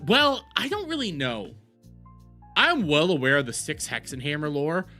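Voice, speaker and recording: A man hosting a livestream, talking in a rambling, profane and excitable way while greeting his chat. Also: sits high. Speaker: livestream host.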